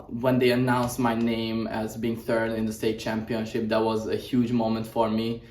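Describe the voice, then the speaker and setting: A man's voice: mid-range voice, darkish tone. A young man from Hungary, a boarding school student, giving earnest interview answers with many "uh" fillers. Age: young adult. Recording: echoey room, mic at a distance.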